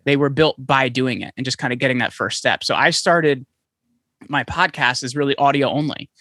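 A man being interviewed as a podcast guest, talking in quick, animated stories full of restarts. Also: medium pitch, no echo, studio quality. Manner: fast, animated storytelling with many restarts. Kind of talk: conversational interview. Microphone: podcast microphone, remote call.

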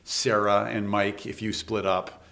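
A man's voice: on the low side, little pitch movement, unhurried, gravelly, a bit bright. A Canadian man hosting a podcast, asking probing questions in a conversational way. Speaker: podcast host. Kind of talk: interview questions.

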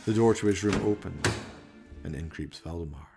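A man's voice: low-pitched, normal speed, over music. A man narrating a comic horror radio play with theatrical, dramatic storytelling.

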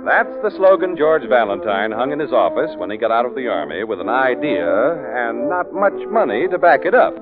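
Animated 1940s radio announcer, man. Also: mid-range voice, fairly quick, few pauses. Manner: polished sales delivery. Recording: tinny 1940s radio recording. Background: loud brassy music.

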